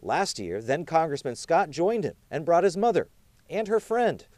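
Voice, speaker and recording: News reporter, male: emphatic, dramatic pauses, swooping pitch, dry close recording. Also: big pitch swings, a little mellow, no room sound. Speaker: television news reporter and anchor. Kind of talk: scripted TV news narration.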